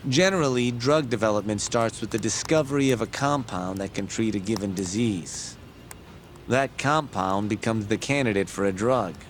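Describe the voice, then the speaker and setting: An actor playing a patient, earnest medical expert who explains things in a measured, articulate, didactic way in a video game. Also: male voice, fairly low pitch, slight background noise, close to an everyday voice. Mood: earnest explaining. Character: learned male medical expert, patient, earnest, wryly teasing lecturer. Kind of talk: English video game dub, explanatory dialogue. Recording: background music under the voice.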